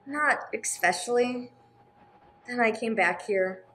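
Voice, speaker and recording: Woman playing a gentle, earnest nun, noisy recording. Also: on the high side, takes it slowly. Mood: earnest, helpful. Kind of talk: radio play acting. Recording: clear background noise, room ambience.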